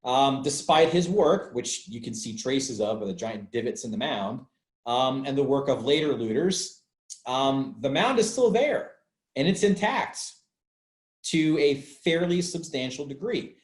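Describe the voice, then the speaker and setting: A man's voice: medium pitch, expressive, unhurried. A man speaking as an archaeologist, giving an informal explanatory talk in a conversational way, repeating points for emphasis. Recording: roomy, echoey sound.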